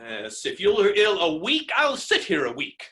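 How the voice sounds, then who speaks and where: A man's voice: sits high, huge pitch swings, measured pace, bright, forceful tone, full character voice. A man playing a blustering, hot-tempered creditor in a theatrical stage comedy reading, ranting with exasperated, melodramatic exclamations. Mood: defiant. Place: indoor room, recorded remotely. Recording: roomy, echoing virtual staged-reading recording.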